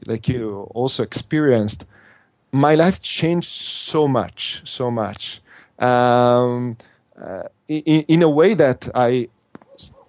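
A man's voice: mid-range voice, darkish tone, dry recording. A man with an Italian accent, a native Italian speaker, talking earnestly as an interview guest, often restarting and repeating words. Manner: earnest, halting, with frequent restarts and repetitions. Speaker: interview guest, workshop instructor.

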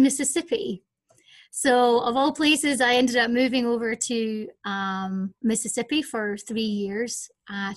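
A woman's voice: on the high side, no echo. A Scottish woman, a lecturer guest in a podcast interview, recounting at length with some fillers, recorded at home. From Scotland. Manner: fluent storytelling with fillers. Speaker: guest, senior lecturer in anatomy and physiology. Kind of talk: interview answers. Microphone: home setup over digital platform.

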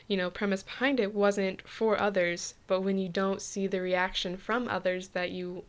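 A woman's voice: medium pitch, flat delivery, moderate pace. A young woman hosting a podcast, talking casually, chatty and laughing easily, often restarting her phrases. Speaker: podcast host.

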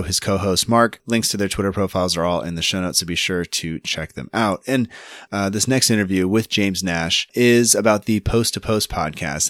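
A man in his thirties with a notably low voice hosts a casual podcast, speaking in an easygoing, rambling way full of ums and restarts. The sound is close and dry, with no room behind him. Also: no room sound. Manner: relaxed, rambling, many fillers. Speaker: podcast host. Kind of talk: casual podcast interview and intro.

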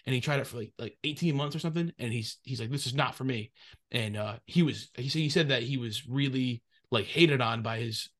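A man's voice: medium pitch, fairly quick, fairly bright tone. A man with a New England accent, hosting a casual podcast chat and rambling through his thoughts. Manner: rambling, many fillers and restarts. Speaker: podcast host.

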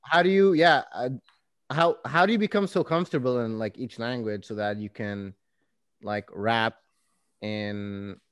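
A man's voice: medium pitch, unhurried, clear, resonant tone, dry recording, clean studio sound. A man interviewing a guest in casual chat, asking long, searching questions that circle around the point.

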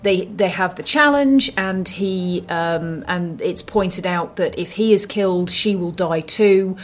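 A woman co-hosting a film podcast, giving analysis in a measured, considered way. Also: on the low side, a little hiss or hum.

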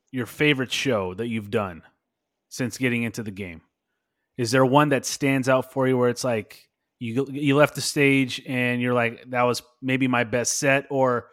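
A man's voice: medium pitch, fairly bright tone. A man hosting a podcast, asking long questions with many hesitations and restarts. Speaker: podcast host. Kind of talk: interview questions.

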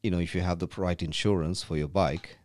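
Podcast guest: Sri man, deep low voice, dry close sound, chatty and full of restarts. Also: fairly even intonation, brisk, darkish tone, no echo. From Sri Lanka. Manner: repeats and restarts words often, chatty. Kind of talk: casual conversational explaining. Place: rented room.

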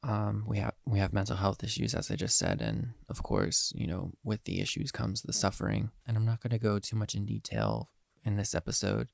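A nineteen-year-old man with a head cold talks into a close mic in a dry room, his voice slightly nasal. The voice sits lowish and gravelly with fry. He rambles earnestly, full of ums and restarts. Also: animated, fairly quick, dry recording. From Eastern Pennsylvania. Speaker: podcast host. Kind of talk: casual solo podcast monologue.

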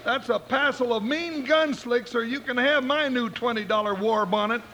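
A man's voice: normal speed, bright, forceful tone, slight background noise, poor audio. A man playing a jovial, fun-loving cowboy deputy sidekick in a western radio drama, with a folksy drawl and Old West slang. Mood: wary. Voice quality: high-pitched, folksy voice. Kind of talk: 1950s western radio acting.

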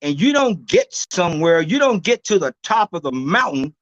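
Older guest in his sixties with a loud preachy delivery, high voice that swings all over, dry sound. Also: big pitch swings, moderate pace, no echo, lo-fi sound. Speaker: guest herbalist. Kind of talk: preacher-like storytelling.

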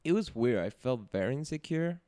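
A man's voice: mid-range voice, expressive, a little fast, warm tone, no echo. A young man from Venezuela, a Spanish speaker with a Venezuelan accent, chatting casually on a podcast, laughing easily and restarting words as he goes. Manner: laughs often, self-deprecating, restarts words. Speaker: podcast co-host.

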